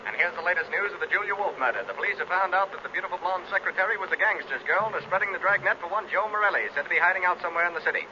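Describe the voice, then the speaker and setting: A man's voice: sits high, rapid-fire, hardly any pauses, pushed and bright. An actor playing an urgent male newscaster reading a 1930s radio news bulletin. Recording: dull, noisy old radio recording.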